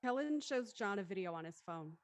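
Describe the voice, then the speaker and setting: A woman's voice: mid-range voice, lively intonation, a little fast. A woman reading stage directions as scripted narration for a drama.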